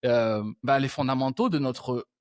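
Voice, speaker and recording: A man's voice: medium pitch, moderate pace, a little mellow, dry recording. A male interview host with an ordinary mid-range voice, musing aloud and restarting phrases as he reaches for ideas. The sound is dry and close, with no room around it. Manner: thinking aloud, frequent restarts and hesitations. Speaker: podcast host. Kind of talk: reflective conversational interview.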